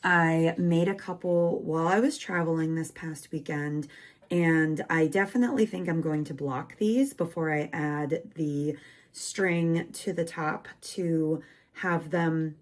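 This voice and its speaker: A woman chatting casually in a home craft vlog, talking while she crochets, her voice worn from losing it. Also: lowish voice. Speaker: crochet maker and small business vlogger. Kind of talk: casual rambling vlog chat.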